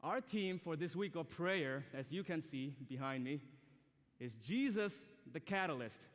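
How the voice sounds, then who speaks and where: A man's voice: a little high, animated, a little slow. A young man from Myanmar preaching a sermon to a student audience, chatty and direct, asking the crowd questions. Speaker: student speaker. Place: large church or university auditorium. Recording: long hall reverb from a live auditorium.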